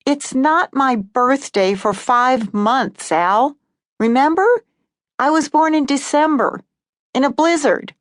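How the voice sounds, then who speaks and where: A stubborn old wife of seventy-nine, firm and patient, her voice climbing high when she calls out, as a woman puts her on. The reading is close and dry. She stretches words out slowly to make her point. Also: no echo. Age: older. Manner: firm, patient, rising to calls through a door.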